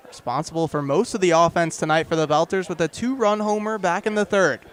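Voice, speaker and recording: A young man doing live radio baseball play-by-play, with vivid descriptive calls that rise with the action. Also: high voice. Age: young adult. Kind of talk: live radio sports commentary. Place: outdoors at a ballpark.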